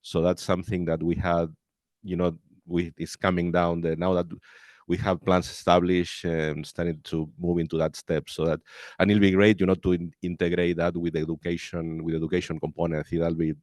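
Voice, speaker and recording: Very deep man, rambling casually. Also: flat delivery, brisk, darkish tone, no room sound, studio quality. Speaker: researcher. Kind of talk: meeting discussion. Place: conference room, indoors. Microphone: handheld mic.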